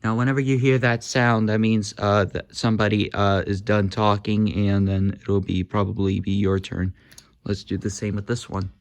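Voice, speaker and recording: A man talking casually through a hands-on demo, halting often with uhs and ums while he handles the gear. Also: on the low side, level tone, a little hiss or hum. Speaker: hobby video host demonstrating walkie-talkies.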